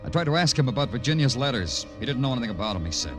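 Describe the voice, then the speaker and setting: An actor playing a world-weary, brooding police detective in an old radio crime drama, speaking in terse, hard-boiled lines. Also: male voice, medium pitch, sing-song, rapid-fire, close to an everyday voice. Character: world-weary male police detective, brooding, narrating his own cases. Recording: old radio broadcast with music.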